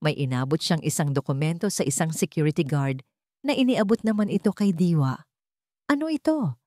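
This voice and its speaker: A woman's voice: sits low, lively intonation, a little fast, warm tone, no echo, clean studio sound. A woman storyteller delivering dramatic scripted narration.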